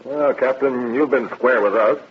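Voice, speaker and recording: An actor playing a glib, evasive male safe-cracker, smooth-talking and defiant, in a 1930s radio drama. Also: medium pitch, a little slow. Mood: feigned innocence. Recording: dull vintage radio broadcast.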